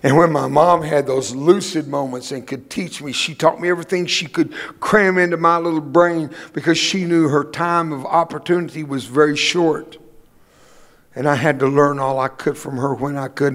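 A man's voice: fairly high pitch, long reverb tail. An older man whose first language was Spanish, preaching a folksy sermon with humorous personal stories in a church. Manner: humorous storytelling, emphatic preaching. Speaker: guest preacher. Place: church sanctuary.

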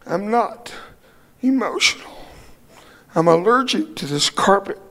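Older male guest preacher, Spanish as first language, slightly high voice, emphatic and humorous, church hall echo. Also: expressive, measured pace, bright, forceful tone. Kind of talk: folksy sermon with personal stories. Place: church sanctuary.

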